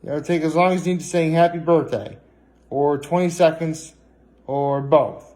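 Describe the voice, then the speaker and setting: A man with a somewhat high voice talks as a casual daily vlogger. He sounds tired and speaks in a halting, meandering way, often restarting and circling back to the same point. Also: a little mellow. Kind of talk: casual rambling vlog monologue.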